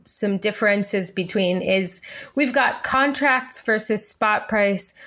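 A woman's voice: mid-range voice, a bit bright. A woman co-hosting a podcast in a conversational way, explaining context and putting questions to her partner. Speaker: podcast co-host.

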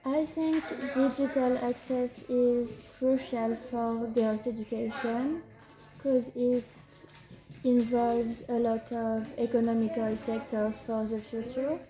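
A woman's voice: on the high side, steady tone, slow pace, poor audio. A woman from France, a librarian, answering interview questions in a hesitant way, stopping to look for words.

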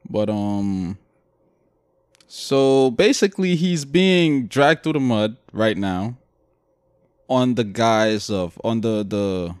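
A man's voice: lowish voice, animated, slow, a little mellow, clean tone, no room sound, studio quality. A man from Brooklyn with a Brooklyn accent, co-hosting a podcast in a laid-back, sarcastic way. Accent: Brooklyn, with Guyanese touches. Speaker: podcast co-host. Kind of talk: casual podcast chat.

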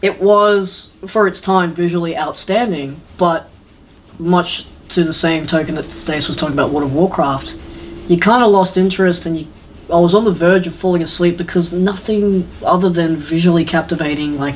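Casual podcast host over clear background noise. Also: mid-range voice, fairly even intonation, normal speed. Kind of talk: casual chat, film opinions. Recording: noisy room with background ambience.

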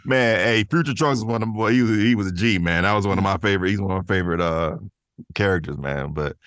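A man's voice: deep voice, quick pace, fairly bright tone, no echo, studio quality. A man chatting casually as a podcast guest, informal and slangy, rambling through his answers. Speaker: podcast guest, voice actor and artist. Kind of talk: casual interview chat.

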